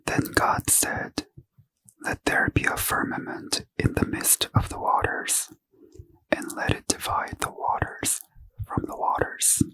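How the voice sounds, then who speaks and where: A man reading scripture in a slow, soft unvoiced ASMR whisper as a host. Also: no room sound, poor audio.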